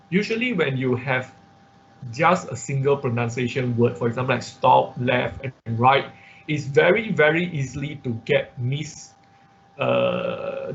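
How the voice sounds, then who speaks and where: A man with a creaky voice gives a live online lesson, explaining one step at a time. His delivery is halting and repetitive, with frequent checks that people follow. The audio is dull and lo-fi, with some background noise. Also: mid-range voice. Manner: halting, repetitive, checks understanding with "okay" and "right". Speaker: instructor. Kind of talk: live online tutorial, talking through commands step by step. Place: online class from a computer.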